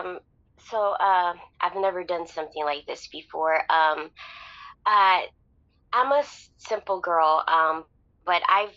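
A woman playing a shy, lovelorn radio caller in an improvised audio drama, halting and apologetic with nervous hesitations. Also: medium pitch, pushed and bright, muffled recording. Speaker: caller to radio advice show.

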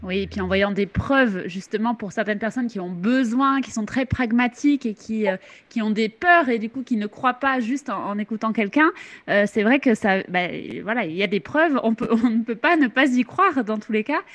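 A woman's voice: fairly high pitch, normal speed. A woman hosting an interview, enthusiastic, with fillers and restarts. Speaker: podcast host, interviewer. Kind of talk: casual interview talk.

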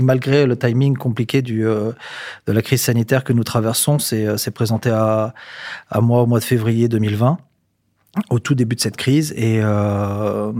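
A man's voice: on the low side, dark tone, no echo, clean studio sound. A man in his forties from southern France, a hotel manager answering interview questions with many fillers and restarts. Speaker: hotel general manager, guest.

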